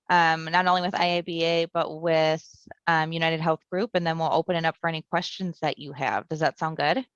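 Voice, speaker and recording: A woman moderating an online career fair panel, hesitating often with um as she introduces the speakers. Her voice is smooth and a little low. The sound is dry and close, with no room echo. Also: fairly bright tone, muffled recording. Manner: hesitant, frequent "um"s.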